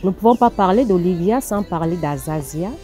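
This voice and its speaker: A woman with a slightly low voice acts as the interviewer. She delivers prepared introductions and questions, with soft music underneath. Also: lively intonation, a little fast.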